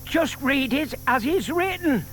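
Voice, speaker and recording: A very high-pitched, lively older gentleman, polite and gently persuasive. He explains patiently in a children's radio-play style, on a tape with audible hiss. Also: unhurried, full character voice. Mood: encouraging. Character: shy, scheming older English gentleman, gently persuasive neighbour. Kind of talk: dramatised children's audiobook acting.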